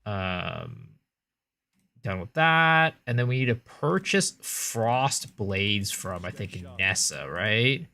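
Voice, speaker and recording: A young American man giving casual gameplay commentary as a livestreamer, chatting loosely and thanking viewers with big excited bursts. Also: fairly low pitch, takes it slowly, creaky.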